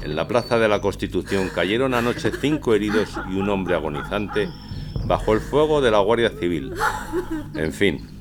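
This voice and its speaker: Low-voiced grieving man. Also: noisy background. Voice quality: broken, pained voice. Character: grieving male neighbour reading 1918 news aloud. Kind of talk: dramatic radio fiction monologue. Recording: music behind the voice.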